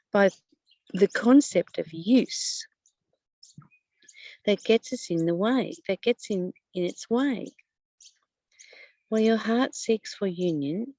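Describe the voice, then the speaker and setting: A woman with a lowish voice reads spiritual teachings aloud and reflects on them. She speaks very slowly and deliberately, leaving pauses and repeating phrases. The sound is dry, with little room around her. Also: darkish tone, no room sound, poor audio. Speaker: spiritual study teacher and reader. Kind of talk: reading a spiritual text aloud with personal commentary.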